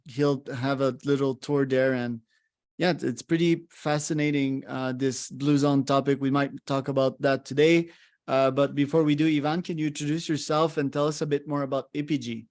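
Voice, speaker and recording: A man hosting a podcast who asks his guest questions in a relaxed, easygoing way. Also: medium pitch, moderate pace. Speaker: podcast host. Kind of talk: casual interview chat.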